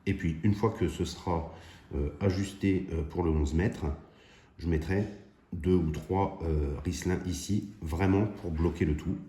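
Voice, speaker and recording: A man's voice: deep voice, steady tone, a little slow, dark tone, husky. A man hosting a hobby DIY video, chatting informally and thinking aloud as he demonstrates by hand. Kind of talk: casual hands-on demonstration.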